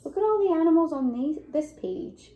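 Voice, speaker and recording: A woman's voice: high voice, expressive, dark tone. A young adult woman reading a picture book aloud like a teacher, with emphatic phrasing and questions for a young child. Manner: deliberate, emphatic storybook phrasing, prompting with questions. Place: indoor room at home.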